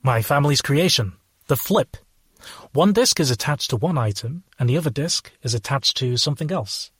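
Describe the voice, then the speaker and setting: An actor playing a purposeful alien inventor who explains things formally, in an audio drama. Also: male voice, medium pitch, animated, normal speed, a bit bright, breathy edge, no echo, clean studio sound. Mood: businesslike.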